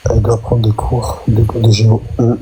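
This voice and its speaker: A man's voice: medium pitch, level tone, normal speed, dark tone, a little hiss or hum, rough recording. A man joking with a live stream host, mocking her and sounding incredulous. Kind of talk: casual bantering chat.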